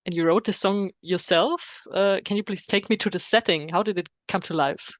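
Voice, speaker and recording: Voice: medium pitch, normal speed, dry recording. A podcast interviewer whose first language is German, asking short, plain questions in careful English.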